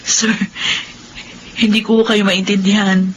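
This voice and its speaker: A woman's voice: medium pitch, flat delivery, slow pace, a little hiss or hum, lightly acted, poor audio. A woman acting a good-natured, easily flustered young mother in a radio drama. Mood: puzzled, respectful.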